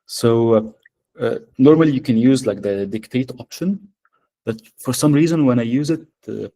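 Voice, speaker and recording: Arabic-accented male workshop presenter, mellow tone, halting delivery full of "uh"s, on a video call. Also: mid-range voice. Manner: hesitant, many fillers. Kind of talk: live software demo.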